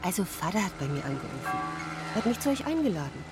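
An actress playing a friendly, caring widowed farm woman in a radio drama, speaking gently and a little hesitantly. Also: fairly low pitch, very animated, quick pace, over loud music. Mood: tentative, caring. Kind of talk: German radio drama acting.

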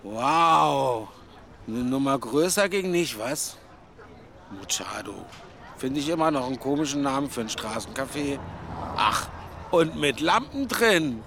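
An actor playing a laid-back handyman who lets flats, with an offhand, colloquial manner in casual radio play dialogue. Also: mid-range voice, unhurried, creaky, heavily acted. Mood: dry, familiar.

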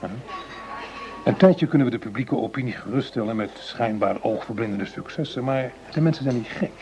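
An actor playing a cautious, calculating justice minister in a radio play, speaking in deliberate, formal phrases. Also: male voice, mid-range voice, creaky, over music, close to an everyday voice, rough recording. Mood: grave, measured.

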